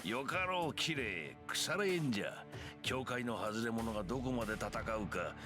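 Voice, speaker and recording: An actor playing a sinister, mocking assassin in a skull mask in a Japanese visual novel. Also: male voice, mid-range voice, animated, a little fast, natural voice. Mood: mocking, gloating. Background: music.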